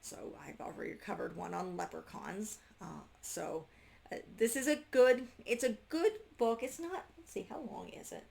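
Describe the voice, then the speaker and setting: A woman's voice: mid-range voice, gravelly, a little mellow. A woman reviewing a book casually to camera, unscripted, often breaking off and restarting her sentences as she goes. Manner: halting, self-interrupting, trailing off mid-sentence.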